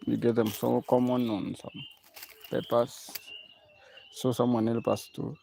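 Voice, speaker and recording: Very gravelly man hosting a live lesson. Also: medium pitch, little pitch movement, measured pace, warm tone, a little hiss or hum, poor audio. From Kenya. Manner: repetitive, coaxing viewers to answer. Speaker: music teacher hosting a live stream. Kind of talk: live-stream Q&A with viewers.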